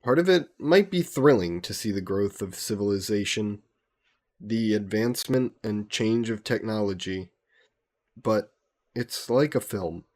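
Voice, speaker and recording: Man playing a slow, low-voiced gentle vampire scholar. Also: lively intonation. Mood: wistful. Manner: courteous, reflective, musing with hesitant pauses. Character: gentle, wistful, gently teasing immortal male vampire scholar. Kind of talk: intimate scripted audio roleplay.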